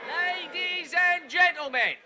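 An actor playing a cheerful street soup seller who leads townsfolk in a film musical number, announcing grandly and singing. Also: male voice, very high voice, slow pace, crowd noise, background noise. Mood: jubilant. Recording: film soundtrack with loud music.

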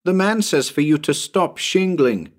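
A man's voice: fairly high pitch, animated, measured pace, pitched above the performer's own voice. A man voicing an innocent, earnest little boy in a storybook, childlike and pleading. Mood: insistent.

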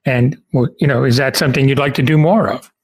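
A man's voice: medium pitch, steady tone, fast talker, slightly rough. A man interviewing a guest in a casual, informal style, feeling his way through his questions.

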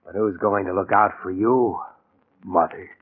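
An actor playing a guilt-ridden, scheming husband in a melodramatic, stammering 1940s radio thriller style. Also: male voice, low voice, steady tone, unhurried, breathy edge. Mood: menacing. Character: tense, guilt-ridden husband turned murderous, cornered and scheming. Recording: narrow, tinny 1940s radio recording.

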